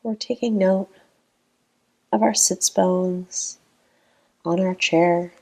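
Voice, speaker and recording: A woman guides a meditation very slowly, leaving long pauses between her phrases. Her voice sits fairly low and carries a creaky, gravelly fry. The delivery is calm and gentle throughout. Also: rough recording.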